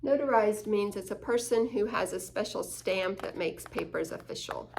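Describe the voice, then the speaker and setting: A woman reading a picture book aloud to children, expressive, with gasps and little asides. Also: medium pitch, normal speed, gravelly, a little hiss or hum, poor audio. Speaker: children's story reader.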